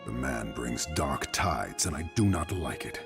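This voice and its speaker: An actor voicing a brooding, stubborn mariner in a fantasy video game, terse and grave with a deep, husky, gravelly voice. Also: male voice, lively intonation, measured pace, over loud music, full character voice. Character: brooding, gruff male mariner, burdened and stubborn. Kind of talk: dramatic video game voice acting.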